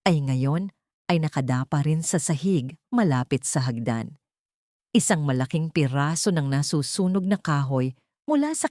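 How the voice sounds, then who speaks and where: A woman's voice: sits low, dark tone, no echo, clean studio sound. A woman with a Filipino accent reading a scripted story as a dramatic audiobook narrator.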